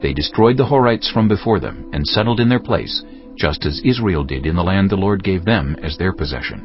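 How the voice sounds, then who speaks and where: A man narrating scripture with an expressive, lively delivery. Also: low voice, a little fast. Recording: dull lo-fi audio with music.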